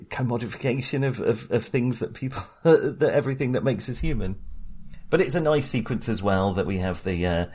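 Husky-voiced man chatting about a film. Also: lowish voice, a little mellow. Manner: chatty, hesitant, many fillers and restarts, laughs often. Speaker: podcast co-host. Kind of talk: casual film discussion.

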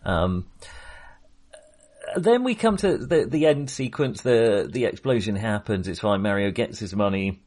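Husky man chatting about films. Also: on the low side, lively intonation, unhurried, a little mellow. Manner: hesitant, many restarts and ums. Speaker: podcast co-host. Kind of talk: casual film discussion.

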